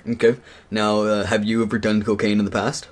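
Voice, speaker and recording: A man playing a stern, accusing attorney on a prank call, questioning in an official but halting way that repeats words. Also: fairly low pitch, level tone, close to an everyday voice. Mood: probing. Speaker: prank caller. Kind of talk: interrogation on a prank phone call.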